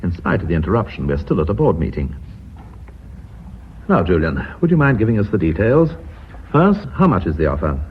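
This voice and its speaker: An actor playing a courteous, fussy board chairman in British radio drama, measured and formal. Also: low-pitched, huge pitch swings. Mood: formal, calming. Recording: tinny, faintly noisy radio drama recording.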